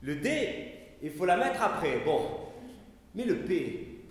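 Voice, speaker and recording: A man with a high voice recites poetry at a slow, deliberate pace, his delivery rising and falling dramatically from line to line. He performs live on a festival stage. The room is heavily reverberant, with long echoes trailing each phrase. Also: very animated, a little hiss or hum. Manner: slow, measured recitation with wide swings in pitch and loudness. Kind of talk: live sound poetry reading.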